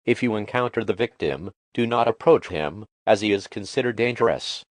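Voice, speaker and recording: A man delivering a formal, official emergency broadcast in a deliberate, impersonal manner. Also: lowish voice, expressive, dry recording, lightly acted. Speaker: emergency alert announcer.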